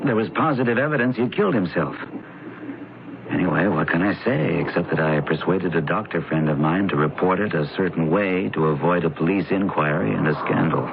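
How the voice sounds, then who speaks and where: A man's voice: low-pitched, a little fast, creaky. An actor playing a proud, defensive businessman whose father has died, in a radio drama. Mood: guarded. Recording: muffled narrow-band old radio broadcast, faint hiss.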